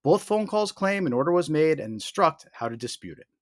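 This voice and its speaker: A man reading a scripted explainer as host, with emphatic, deliberate delivery and short warning statements. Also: fairly high pitch, very animated, no room sound, clean studio sound. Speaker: host of a scam awareness video.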